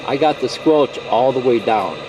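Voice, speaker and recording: A man's voice: mid-range voice, lively intonation, moderate pace. Casual man over noisy static. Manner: folksy, reassuring, often checks in with "okay" and "you know". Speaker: presenter of a CB radio how-to video. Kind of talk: casual, unscripted equipment demonstration. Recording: clearly noisy, with static and hum. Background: CB radio static hiss.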